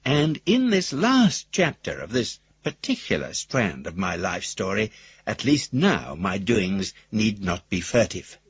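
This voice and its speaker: An actor playing a wry, pompous older gentleman rogue, husky, narrating his letters in ornate, wordy style for a radio drama. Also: on the low side, big pitch swings, a little slow, no room sound, highs cut off, heavily acted. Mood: wry, self-satisfied. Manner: wordy, ornate, self-regarding.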